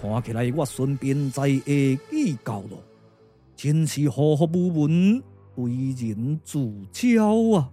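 A man with a Taiwanese accent playing a solemn, world-weary older strategist lord in stately, drawn-out puppet-theatre radio drama style. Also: medium pitch, expressive, mellow, faint music behind. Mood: gloomy, resigned.

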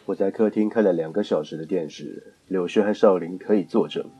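Casting: skeptical young male student, acted by a man, deep, mellow and composed, for a radio mystery. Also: fairly quick, highs cut off, slight background noise, natural voice. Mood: matter-of-fact. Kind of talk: mystery radio drama acting.

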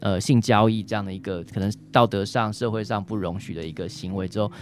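A man's voice: fairly low pitch, steady tone, fast, soft background music. A man with a Taiwanese accent, a doctor explaining conversationally as a radio guest, often ending phrases with short tag particles. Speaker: doctor answering questions, guest.

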